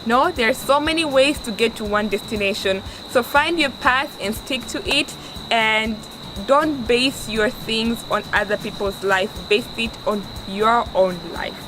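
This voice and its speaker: A woman's voice: on the high side, measured pace. Earnest young Tanzanian woman, noisy background. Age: 20s. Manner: earnest, restarts often, stresses words for emphasis. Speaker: vlogger. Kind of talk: casual advice vlog. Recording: steady background noise.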